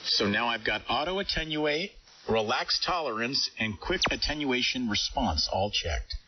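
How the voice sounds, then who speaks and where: A man's voice: mid-range voice, animated, moderate pace. A man leading a casual, technical amateur radio chat, heard over a radio transmission. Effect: ham radio transmission. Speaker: amateur radio operator leading an on-air contact. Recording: dull hissy shortwave radio audio, distant.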